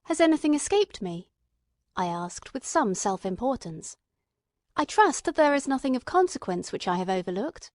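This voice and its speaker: A woman's voice: a little high, sing-song, normal speed, dry recording, studio quality. A woman playing an earnest, eager male doctor and companion who narrates a detective audiobook in careful, literate storytelling. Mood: curious, self-important.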